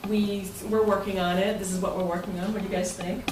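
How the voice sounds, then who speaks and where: A woman's voice: medium pitch, flat delivery, fast talker. A woman giving an informal, chatty club presentation as its president, speaking conversationally to members. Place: indoor meeting room with members present. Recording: roomy, distant mic, noisy meeting room.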